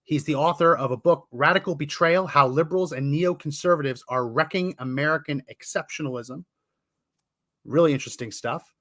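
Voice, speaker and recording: Male podcast host, brisk and upbeat; bright, crisp voice with a dry, close sound. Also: on the high side, no echo. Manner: brisk and upbeat, announces topics. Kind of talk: casual podcast chat.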